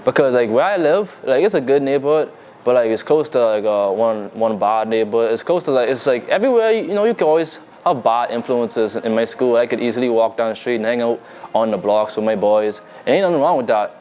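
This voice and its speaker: A man's voice: medium pitch, expressive, fairly quick, a little mellow. Young bahamian guy chatting loose and easy, lots of likes and restarts, bit of hiss behind. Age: young adult. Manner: laid-back, loose, lots of fillers and restarts. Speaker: musician guest. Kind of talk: casual interview chat.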